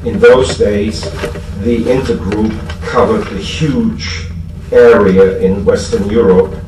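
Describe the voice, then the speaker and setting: A man's voice: fairly low pitch, fairly even intonation, slow. German-accented man speaking in an echoey room. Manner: self-deprecating, dryly joking. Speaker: speaker at a recovery fellowship convention. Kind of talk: informal public speech recalling history. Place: echoey room before an audience. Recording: echoey, noisy room, distant microphone.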